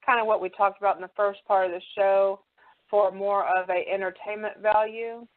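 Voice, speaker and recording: A woman's voice: mid-range voice, steady tone, normal speed, phone-quality sound. A woman hosting a casual interview, talking in a loose, hesitant, conversational way. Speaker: show host, interviewer.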